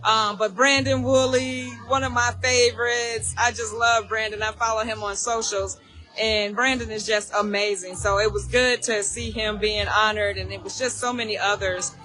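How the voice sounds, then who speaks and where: Hoarse, chatty woman. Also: on the high side, steady tone, moderate pace, sharp tone, soft background music. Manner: chatty, repeats herself, laughs often. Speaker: alumni association host. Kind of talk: casual live video chat.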